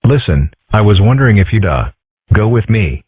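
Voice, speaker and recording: A man playing a lovesick schoolboy, flustered, low, husky, dry lo-fi. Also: big pitch swings, normal speed, no echo. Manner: flustered, stammering. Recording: dry, phone-like lo-fi sound.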